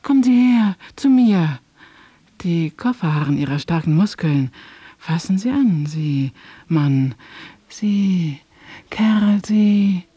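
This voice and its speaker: A demanding, stranded lady traveller in a radio drama. Her voice is husky and close in a dry room, and she doles out halting, imperious phrases very slowly. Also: on the low side, animated, dark tone, dry recording, exaggerated voice. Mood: commanding. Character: stranded, demanding married woman traveller with too much luggage. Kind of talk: German radio play acting.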